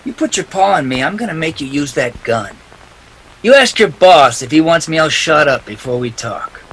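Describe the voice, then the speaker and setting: An actor playing a tough, wisecracking private detective in a 1940s radio drama, clipped and defiant. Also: male voice, fairly high pitch, animated, normal speed, a bit bright, breathy edge, heavily acted, low-quality recording. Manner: hard-boiled, clipped, defiant. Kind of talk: 1940s radio drama acting with first-person narration.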